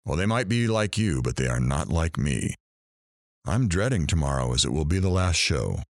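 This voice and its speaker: A man's voice: rumbling low, sing-song, moderate pace, no echo, clean studio sound, heavily acted. A man playing a candid, earnest radio talk show host in an audio drama. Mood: admiring, wistful.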